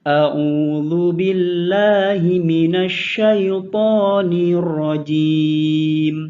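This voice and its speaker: Give me a high-pitched man slowly chanting a prayer on a muffled recording. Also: a little mellow. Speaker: prayer leader. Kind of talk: slow chanted prayer recitation. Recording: dull lo-fi recording.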